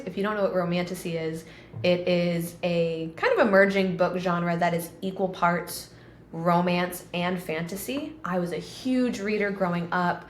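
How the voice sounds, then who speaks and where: A woman in her thirties with a slightly low voice chats casually, filling her stories with "like." The room is roomy and echoey, with a faint hiss of background noise under her. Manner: chatty storyteller, many "like" fillers. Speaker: podcast co-host. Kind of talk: casual conversation.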